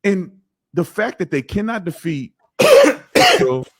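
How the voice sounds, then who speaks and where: A man's voice: sits high, wildly swooping pitch, a bit bright, husky, dry recording, clean studio sound. A man interviewing a guest, stumbling over and repeating words as he works out his question. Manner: halting, repeats words while searching for phrasing.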